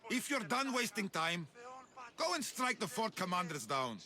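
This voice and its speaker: Imperious Spartan general, bright forceful voice. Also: male voice, high voice, sing-song, normal speed, exaggerated voice. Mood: proud, commanding. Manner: imperious, boastful, curt. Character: ruthless, proud, ambitious male Spartan general. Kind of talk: scripted video game acting.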